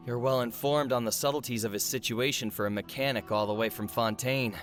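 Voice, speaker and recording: A man plays a resolute overseer of a forge in a dramatic game story. He speaks solemnly and accusingly, in a medium-pitched, deliberate voice. Faint music plays underneath. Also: fairly even intonation, lightly acted. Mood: grave, suspicious. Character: earnest, grave forge overseer confronting a traitor, resolute. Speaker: video game character. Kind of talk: dramatic video game voice acting. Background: background music.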